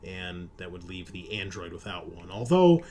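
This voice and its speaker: A man with a low voice narrates a tabletop game as game master. He describes things off the cuff, pausing and hesitating as he goes. There is noticeable noise in the background. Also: very animated, warm tone.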